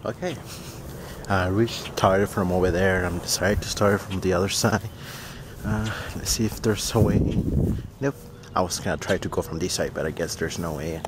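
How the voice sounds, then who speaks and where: A young man vlogging as he explores an abandoned house, talking excitedly to his viewers, recorded on a handheld camera. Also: sits low, moderate pace, background noise. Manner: excited, addresses viewers as fams. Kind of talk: casual exploring vlog. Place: outdoors and inside an abandoned rural house. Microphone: handheld camera at arm's length.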